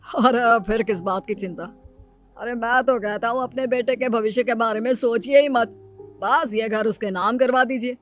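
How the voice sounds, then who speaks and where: A woman's voice: on the high side, normal speed, soft background music, close to an everyday voice. A woman voicing a meddling, greedy-minded family friend in a cartoon moral story, nosy and cajoling.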